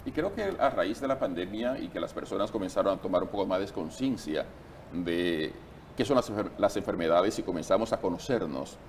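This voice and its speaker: A man hosting a talk show interview, chatting in a lively, conversational way. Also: sits low, fairly quick, slight background noise.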